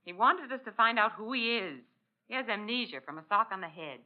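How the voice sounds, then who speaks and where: An actress playing an alert, inquisitive young-sounding detective's assistant in an old-time radio drama. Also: female voice, medium pitch, expressive, fairly quick, lightly acted. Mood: matter-of-fact. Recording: dull old radio recording.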